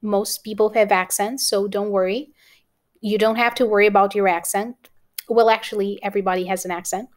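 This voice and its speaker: A woman's voice: medium pitch, steady tone, fairly quick. A woman with a Romanian accent teaching an exam-prep lesson to camera, encouraging and often checking in with "okay?". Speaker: English teacher.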